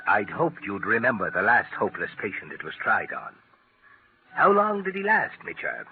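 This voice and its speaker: An actor playing a stern, scornful head of a research institute in a 1940s radio drama. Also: male voice, lowish voice, very animated, moderate pace, vocal fry. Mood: scornful, final. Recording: noisy, tinny 1940s radio recording.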